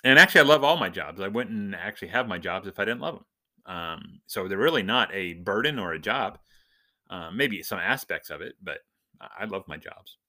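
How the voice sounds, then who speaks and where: A man's voice: deep voice, lively intonation, a little fast, bright, forceful tone. A man hosting a podcast, talking in a jokey, nerdy way. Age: adult, husband and father. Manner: jokey, self-described nerd. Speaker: podcast host and church minister. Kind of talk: casual humorous podcast monologue.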